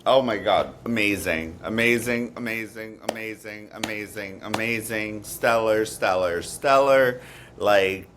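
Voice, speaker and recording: A man's voice: lowish voice, fairly even intonation, a little slow, noisy background. A man co-hosting a podcast in casual chat, with an emphatic way of talking. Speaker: podcast co-host.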